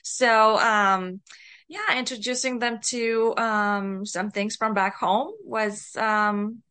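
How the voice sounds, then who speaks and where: A woman's voice: a little high, fairly even intonation, slow, pushed and bright, smooth. A middle-aged woman with a Bulgarian accent, giving casual, hesitant and giggly answers as a podcast guest. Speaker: podcast guest, author and writing coach.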